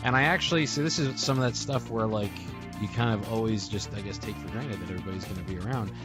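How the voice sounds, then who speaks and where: Low-voiced man reminiscing over guitar music. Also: fast. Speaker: podcast host. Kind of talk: casual reminiscing in a group memorial call. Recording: soft guitar music behind.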